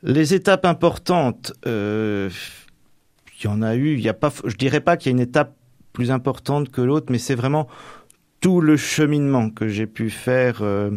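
A middle-aged man from Normandy giving reflective, halting interview answers, often tagging the ends of his phrases. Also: mid-range voice, a little mellow, dry recording, clean studio sound. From Manche, Normandy, France. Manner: hesitant, halting, frequent tags at phrase ends.

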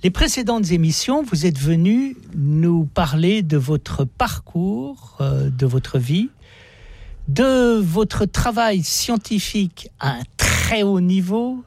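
A man hosting a radio programme, speaking in deliberate, emphatic and articulate phrases. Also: a little high, gravelly, a little mellow, breathy edge, dry recording. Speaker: radio host. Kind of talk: radio monologue and interview.

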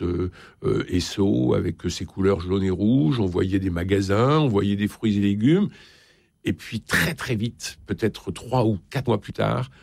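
Gravelly low-voiced interviewer. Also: male voice, a little mellow, no room sound. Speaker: interviewer, literary show host. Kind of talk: literary interview, reading book passages aloud.

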